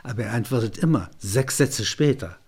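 A man reads and comments on literary text. His voice is medium in pitch with a husky, slightly rough grain. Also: normal speed, darkish tone.

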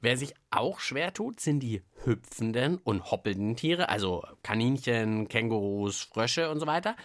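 A man co-hosts a children's podcast about science. He talks casually, with a very animated, varied pitch. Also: measured pace. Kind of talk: casual chat.

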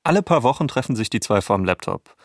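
A man's voice: medium pitch, big pitch swings, fast talker, no room sound. Man in a dry studio, explaining. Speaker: co-narrator adding background facts. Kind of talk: scripted explanatory narration.

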